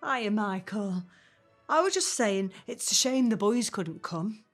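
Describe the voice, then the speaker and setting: A woman's voice: medium pitch, expressive, a little slow, faint music behind. An actress playing a plain-spoken working-class mother in her seventies, grumbling but fond, in a naturalistic audio drama. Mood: warm greeting. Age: 70s.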